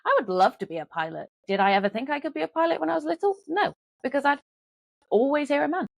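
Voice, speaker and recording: A woman's voice: sits high, expressive, quick pace, gravelly, no echo. A woman guest on a podcast, earnestly retelling something she saw in casual chat.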